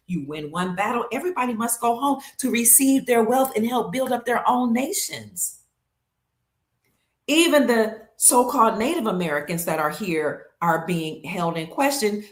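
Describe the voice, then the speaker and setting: A woman hosting her own show, delivering an emphatic monologue to viewers, leaning on key words and stacking them in lists. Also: medium pitch. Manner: leans hard on key words, slows for stress. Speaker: show host. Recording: roomy echo.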